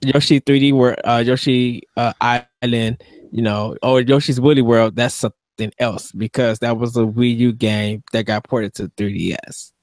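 A man's voice: mid-range voice, steady tone, moderate pace, no room sound. A young man co-hosting a casual gaming podcast, reasoning through his points earnestly on a studio mic at home. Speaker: podcast co-host. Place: home room with game posters. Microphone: studio mic on boom arm with headphones.

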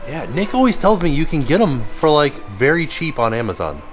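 A man's voice: on the high side, big pitch swings, fairly quick, soft background music. A middle-aged American man chatting casually and playfully as he vlogs on a handheld camera. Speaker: vlogger. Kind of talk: casual vlog chat. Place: mostly inside a busy gift shop.

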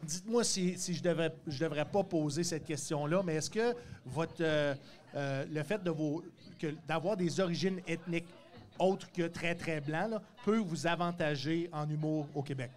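A man's voice: on the high side, normal speed. A man, hosting a podcast interview and speaking into a handheld mic in a studio. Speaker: podcast host. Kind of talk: casual interview. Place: dark podcast studio with neon lights.

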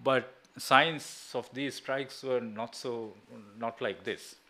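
A middle-aged man from Sri Lanka answering at an academic seminar, haltingly, with many filler tags like a habitual check-in. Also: medium pitch, fairly even intonation, pushed and bright. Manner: halting, with frequent "you know" and "right?" tags. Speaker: seminar speaker. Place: small seminar room indoors. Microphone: gooseneck table microphone.

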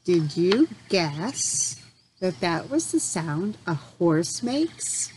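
Slow, lively woman teaching young children. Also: fairly low pitch, a little hiss or hum. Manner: questioning, encouraging, simple phrasing with pauses for answers. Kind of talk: interactive lesson for young children.

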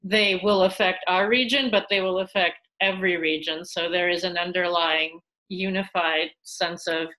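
A woman's voice: fairly low pitch, moderate pace, poor audio. A woman in her thirties from Russia, a museum curator talking on a video call with lots of fillers and restarts. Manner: animated, gestures while talking, many fillers and restarts. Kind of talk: casual video-call interview. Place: small room at home. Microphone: laptop webcam, video call.

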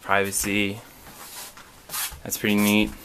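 A young man giving a casual, halting, understated walk-through tour on a handheld camera. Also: monotone, very noisy. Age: young adult. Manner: low-key, halting, understated. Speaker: exchange student giving a tour. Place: indoors in a student dormitory, walking through rooms.

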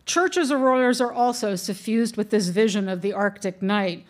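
A woman's voice: medium pitch, moderate pace. A middle-aged woman reading a prepared lecture from notes at a lectern, with dry humorous asides, into a lectern microphone in a wood-paneled room.

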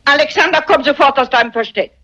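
A woman playing a shrewd, unflappable older amateur sleuth, brisk and tart-tongued in German crime drama dialogue. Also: sits high, sharp tone. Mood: indignant. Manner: brisk, plain-spoken, unimpressed. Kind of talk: German crime film dubbing, theatrical dialogue. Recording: dull lo-fi vintage soundtrack.

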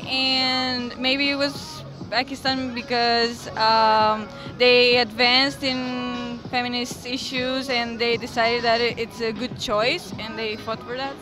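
A young woman giving a thoughtful, measured answer in a street interview in a busy city square. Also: on the high side, steady tone, music in the background. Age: young adult. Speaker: passerby interviewee. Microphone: interviewer's handheld mic.

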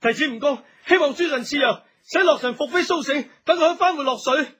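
A man's voice: extremely high pitch, fairly quick, a bit bright. A man playing a devoted, impassioned young thief in a radio drama, speaking fervently and humbly with open emotion. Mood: pleading. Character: devoted, impassioned young male thief, pleading for his beloved. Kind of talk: Cantonese radio drama acting.

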